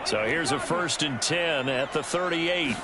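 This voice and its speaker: Play-by-play announcer, male, brisk measured calls, somewhat high pitch. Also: very noisy. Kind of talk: sports play-by-play commentary.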